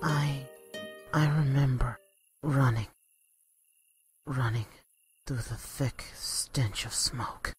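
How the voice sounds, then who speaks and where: A woman's voice: a bass voice, a little mellow. A woman voicing a frightened, timid young male soldier in an audio drama, hesitant and stammering. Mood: nervous, dazed. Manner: hesitant, halting. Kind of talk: amateur audio drama acting. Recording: dramatic music under the voice.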